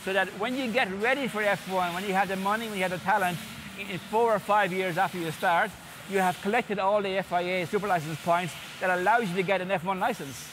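Fifties male organizer, high-pitched and eager, interview set with audible background noise. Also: steady tone, quick pace. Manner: enthusiastic, explains with lists and repeats words. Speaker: series organizer. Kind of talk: sit-down interview. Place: indoor interview set before a sponsor backdrop.

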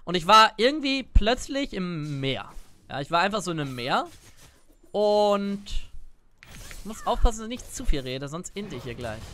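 A man's voice: sits high, big pitch swings, normal speed, sharp tone, slight background noise. A man in his twenties chatting casually to viewers in a rambling way as he comments on his gameplay, close to the mic. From born Italian. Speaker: gaming streamer. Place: indoor room at a gaming setup. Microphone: close desk microphone, wearing a headset.

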